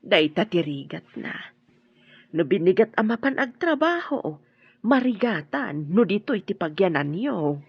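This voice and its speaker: A woman playing a caring, gently worried older mother in an Ilocano radio drama, with an Ilocano accent. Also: mid-range voice, big pitch swings, measured pace, gravelly, husky, no echo, dull audio, exaggerated voice. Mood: concerned.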